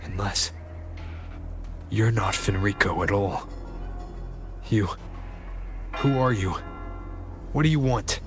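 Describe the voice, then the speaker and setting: A man's voice: lowish voice, takes it slowly, pauses often, music in the background. An actor playing an earnest male soldier in a video game, questioning, his voice in a reverberant space. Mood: suspicious. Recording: echoey hall with long reverb.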